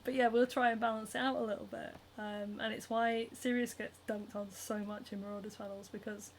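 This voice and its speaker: Young northern english woman rambling on a stream while sewing, fairly high voice, laughs a lot, heaps of ums. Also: a little hiss or hum. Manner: chatty and giggly, many ums and likes. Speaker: cosplayer and livestream host. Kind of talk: casual livestream chat, reading viewer comments.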